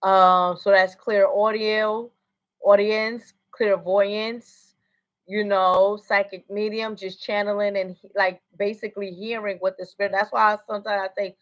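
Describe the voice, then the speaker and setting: A woman's voice: mid-range voice, little pitch movement, unhurried, highs cut off. An American woman working as a psychic medium, giving a casual livestream reading in a rambling way and often asking "you know what I'm saying". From United States.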